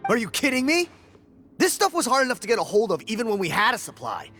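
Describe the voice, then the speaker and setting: A man's voice: high-pitched, wildly swooping pitch, fast talker, sharp tone, faint music behind, heavily acted. An actor playing a sarcastic, combative male prison escapee in a game cutscene. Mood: bitter. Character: bitter hot-tempered male prison escapee.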